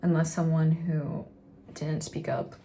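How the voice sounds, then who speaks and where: A woman's voice: sits low, flat delivery, slow pace, dark tone, a little hiss or hum. A young American woman chatting casually as a student vlogger, recapping her days in an informal way. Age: young adult. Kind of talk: casual vlog chat with voice-over recaps.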